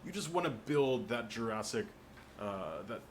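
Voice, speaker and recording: A man with a medium-pitched voice takes part in a panel. He explains his ideas in casual chat, with faint noise in the background. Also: animated, moderate pace.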